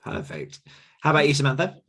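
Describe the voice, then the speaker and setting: A man's voice: fairly high pitch, sing-song, fast, a bit bright. A man hosting a casual interview, encouraging his guests and passing questions along. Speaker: podcast host.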